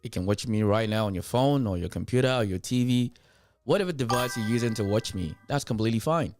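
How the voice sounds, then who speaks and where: A man's voice: mid-range voice, fast talker, music in the background. A man with a Nigerian accent hosting a commentary show, talking straight to his viewers, emphatic and repeating his points to drive them home. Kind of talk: reaction commentary, addressing viewers.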